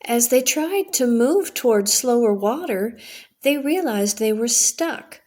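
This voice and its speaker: A middle-aged woman reading a children's story aloud as a storyteller, in a deliberate, expressive way. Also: on the high side. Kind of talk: bedtime story narration, read aloud.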